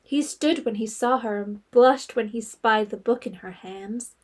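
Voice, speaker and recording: An actress narrating a period novel as a composed storyteller in scripted audio drama style. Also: female voice, a little high, unhurried.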